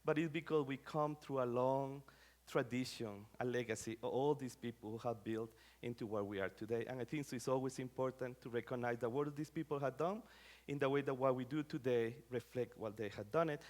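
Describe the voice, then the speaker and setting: A man's voice: mid-range voice, fairly even intonation, brisk, darkish tone. A middle-aged man from Rica, giving an academic talk in a conversational way with many fillers and phrasing. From Costa Rica. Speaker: scholar giving a lecture.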